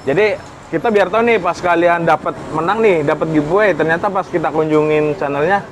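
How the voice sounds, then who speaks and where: Man, Indonesian accent, higher-pitched voice, casual vlog chat, informal explainer, clearly noisy outdoor recording. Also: a little fast. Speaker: vlogger and intercom modification technician.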